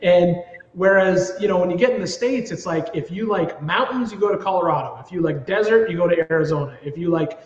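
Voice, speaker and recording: A man's voice: high-pitched, fairly quick, echoey room, big-room sound, lo-fi sound. A middle-aged man from Ontario, Canada, chatting as an interview guest in a rambling, easygoing way, often repeating his words. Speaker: guest, TV producer. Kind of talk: casual interview chat.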